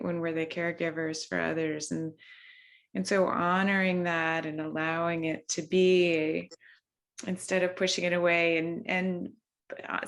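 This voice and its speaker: A woman leading a video-call mindfulness session in a gentle, unhurried way, with frequent ums and restarts. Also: on the low side. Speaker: workshop facilitator. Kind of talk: gentle guided mindfulness talk.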